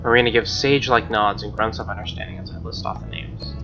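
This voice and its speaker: A man's voice: lowish voice, very animated, quick pace, vocal fry. A man doing a let's play, reading visual novel narration aloud with casual commentary over game music, on a lo-fi mic.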